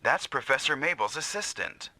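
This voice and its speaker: An actor voicing a dutiful, earnest military operator in an anime-style video game, with crisp briefing delivery. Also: male voice, medium pitch, animated, normal speed, noisy background, natural voice. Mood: businesslike. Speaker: operations and communications officer.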